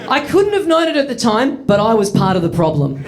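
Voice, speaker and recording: A man's voice: high-pitched, huge pitch swings, a little fast, very noisy. Wry Australian man doing stand-up. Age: 30s. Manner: wry, self-mocking, acts out voices. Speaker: stand-up comedian. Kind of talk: stand-up storytelling. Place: comedy studio with live audience.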